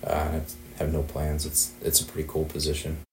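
A man in his thirties from southern Wisconsin, a fish biologist answering interview questions in a relaxed way with some repeated words. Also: very deep voice, brisk, reverberant, slight background noise.

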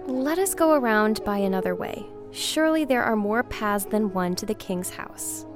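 Lady doing a higher uneasy traveler voice, music in back. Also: normal speed, close to an everyday voice. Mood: afraid. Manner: uneasy, questioning. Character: doubting, anxious woman traveler.

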